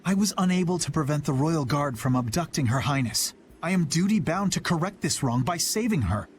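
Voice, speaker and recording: An actor playing an earnest, dutiful young knight captain in a video game, speaking formally and with solemn restraint. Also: male voice, fairly high pitch, expressive, fairly quick, slight background noise, natural voice. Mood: resolute. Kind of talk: English video game voice acting.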